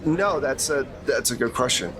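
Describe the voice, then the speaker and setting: A man's voice: mid-range voice, expressive, normal speed, a crowd in the background. A man acting as game master, giving a conversational, explanatory briefing in a tabletop roleplay.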